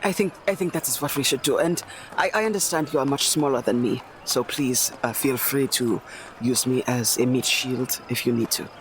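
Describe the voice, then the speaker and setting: A man's voice: mid-range voice, normal speed, fairly bright tone, a little hiss or hum. A man chatting casually as a player in a tabletop roleplaying game, slipping between character and table talk.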